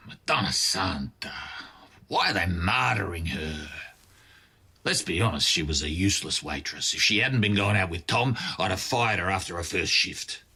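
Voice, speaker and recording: An actor playing a proud, nostalgic older restaurateur father, expressive and theatrical in manner. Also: deep voice, a little slow, husky, heavily acted. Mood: scornful. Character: proud, nostalgic Italian-Australian restaurateur father, persuasive and dismissive.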